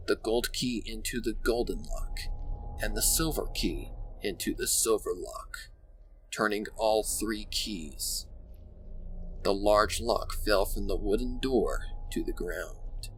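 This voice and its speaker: A man narrating a dark scripted story in a dramatic, ominous and deliberate manner. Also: on the low side, slight background noise, poor audio.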